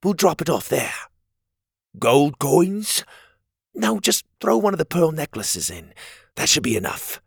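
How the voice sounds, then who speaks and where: A man doing a hushed, urgent young hero. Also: fairly high pitch, sing-song, creaky, breathy edge, no room sound, clean studio sound, voice pushed up, heavily acted. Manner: urgent, quick whispering. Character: cautious young male pajama-clad hero.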